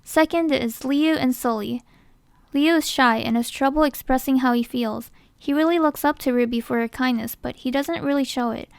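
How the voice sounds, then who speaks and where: Girl reading out a casting call script, high clear voice, dry recording. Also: normal speed, no room sound. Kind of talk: scripted narration.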